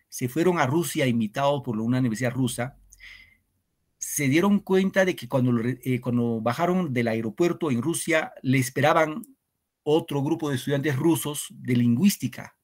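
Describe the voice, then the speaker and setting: Guy teaching online class, earnest, kind of reflective, lots of rhetorical questions, average pitch. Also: normal speed. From Peru.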